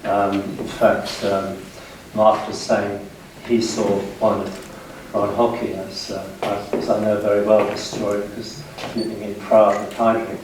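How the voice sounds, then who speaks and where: Echoey, low-voiced British man lecturing. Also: little pitch movement, unhurried. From United Kingdom, west of London. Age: 60s. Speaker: guest lecturer. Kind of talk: public history lecture. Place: indoor seminar room, speaking to an audience. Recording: very echoey room, distant mic, faint noise.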